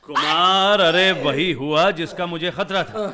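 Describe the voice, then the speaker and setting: An actor playing an indignant, concerned friend who chides with scornful questions in a Hindi radio play. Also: male voice, a little high, measured pace, crowd noise, close to an everyday voice. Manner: scolding, rhetorical questioning. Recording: clearly noisy radio drama recording.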